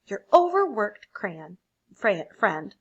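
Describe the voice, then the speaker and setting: A woman's voice: medium pitch, big pitch swings, takes it slowly, husky, lo-fi sound, heavily acted. A woman voicing an overworked, fed-up red crayon in a storybook, complaining emphatically. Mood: exasperated.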